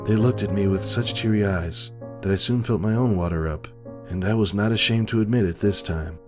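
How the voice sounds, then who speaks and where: Low-voiced man narrating solemnly over music. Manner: earnest, solemn. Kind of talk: dramatic first-person story narration. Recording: background music.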